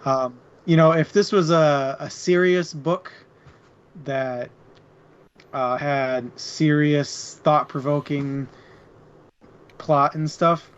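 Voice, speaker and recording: Higher-voiced man, slow delivery, slightly dull sound. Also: steady tone, clean tone. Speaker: co-host of a book club show. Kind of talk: casual unscripted book discussion.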